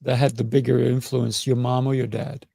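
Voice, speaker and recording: A man's voice: mid-range voice, level tone, moderate pace, creaky, mellow, no room sound. A man hosting a podcast interview, affirming and encouraging his guest. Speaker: podcast host.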